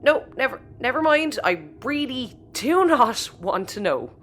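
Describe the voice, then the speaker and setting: A woman's voice: high-pitched, very animated, unhurried, fairly bright tone, exaggerated voice. An Irish woman acting as a principled, anxious and exasperated young spaceship crew member in a sci-fi audio comedy. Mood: worried, exasperated.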